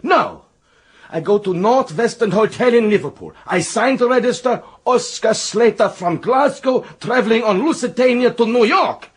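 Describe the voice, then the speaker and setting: High, husky, protesting man. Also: animated, normal speed, full character voice. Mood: defensive, indignant. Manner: defensive, emphatic, clipped phrases. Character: indignant, protesting German male murder suspect. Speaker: actor. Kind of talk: radio crime drama acting.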